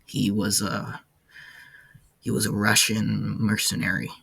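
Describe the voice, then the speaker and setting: A man playing a weary, haunted ancient warden in an audio log, speaking in a halting, somber way with a gravelly, husky low voice. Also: completely flat delivery, pushed and bright, exaggerated voice. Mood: weary, grim. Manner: halting, somber, hesitant delivery. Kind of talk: character audio log, slow monologue.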